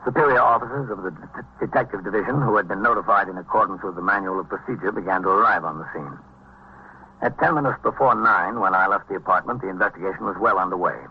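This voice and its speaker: An actor playing a calm, methodical police captain in a vintage radio drama, dry and matter-of-fact, with clipped procedural delivery. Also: male voice, low voice, breathy edge, full character voice. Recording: dull, noisy vintage radio recording.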